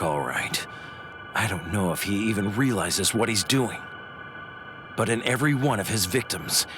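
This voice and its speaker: An actor playing a brash, hot-tempered warrior villain in an English anime dub, curt, taunting and bossy. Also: male voice, mid-range voice, natural voice.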